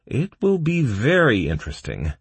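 A man's voice: mid-range voice, wildly swooping pitch, normal speed, husky, no echo, pitched above the performer's own voice, full character voice. A man voicing an emotional, scheming adventurer as the hero of a game fantasy story.

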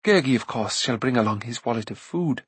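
A man's voice: medium pitch, expressive, husky, no room sound. A man voicing a headstrong young princess from a storybook, chattering and exclaiming with eager indignation. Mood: eager, bossy. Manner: rushed, breathless, exclaiming chatter.

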